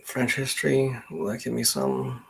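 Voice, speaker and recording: A man from Canada hosting a casual live session, thinking aloud in a halting, muttering way as he searches on screen. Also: medium pitch, very animated, gravelly, husky, rough recording. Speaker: genealogist host of a live question session.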